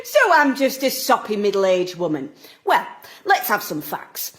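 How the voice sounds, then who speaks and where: A woman's voice: mid-range voice, very animated, unhurried. A woman playing a passionate, combative middle-aged local campaigner in an audio drama. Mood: insistent, passionate. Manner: combative, sarcastic, emphatic. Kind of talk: stage-like audio drama acting.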